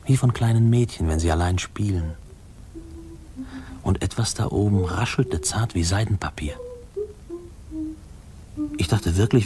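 An actor playing a man who recalls his fearful boyhood, narrating a 1950s German radio play in a hushed, halting, husky voice. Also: low voice, a little hiss or hum.

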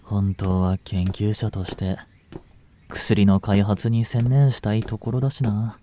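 Man acting as a mellow, soft-spoken brooding teacher. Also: lowish voice, level tone, slow pace, a little hiss or hum. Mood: dejected, musing. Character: gentle male chemistry teacher, brooding, turning possessive. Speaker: voice actor in a fan voice drama. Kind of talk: Japanese audio drama monologue, intimate acting.

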